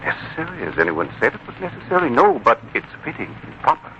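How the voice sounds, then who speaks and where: A man's voice: medium pitch, big pitch swings, natural voice. A man playing a self-assured young heir, politely cutting and formal, in a muffled, noisy 1940s radio drama. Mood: earnest, insistent. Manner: measured, pointed, formal.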